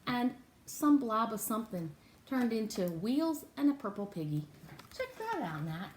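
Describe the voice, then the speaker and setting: A woman teaching and reading a picture book aloud to young children, interactively, in an exaggerated, expressive way with drawn-out words. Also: mid-range voice. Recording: echoey room with faint background noise.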